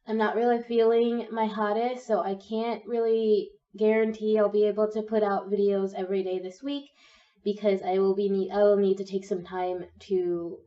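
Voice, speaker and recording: A young woman with a cold and a slightly croaky voice, gently talking through a pick-a-card tarot reading. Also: medium pitch, flat delivery, normal speed, recorded from a distance, highs cut off. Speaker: tarot reader.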